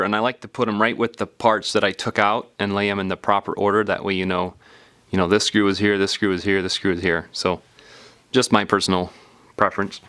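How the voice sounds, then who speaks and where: A man's voice: fairly low pitch, fairly bright tone, clean tone. A man giving casual, step-by-step hands-on instructions as a repair tutorial presenter, patiently explaining in an informal, folksy way.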